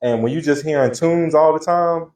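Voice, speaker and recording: A man of fifty from Florida, telling stories from his life in a relaxed interview. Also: a little high, normal speed. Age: 50s. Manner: relaxed, laughing, Southern slang. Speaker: interview guest, singer. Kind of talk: casual storytelling interview.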